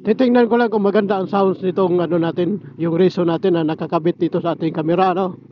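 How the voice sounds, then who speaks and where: A man talks in a fairly high voice over clearly audible noise. He sounds like a casual gear reviewer on a vlog. His delivery is halting and explanatory, and he often repeats key words. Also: steady tone, dull audio. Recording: clearly noisy amateur recording.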